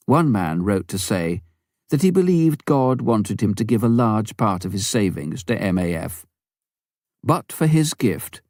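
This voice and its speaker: A man reading a memoir aloud as scripted narration, with measured, expressive storytelling delivery. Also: lowish voice, dry recording, clean studio sound. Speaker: audiobook narrator.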